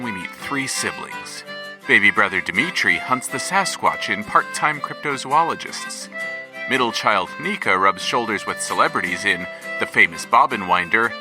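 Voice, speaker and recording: Lively low man announcing an episode. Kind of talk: episode trailer narration. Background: music.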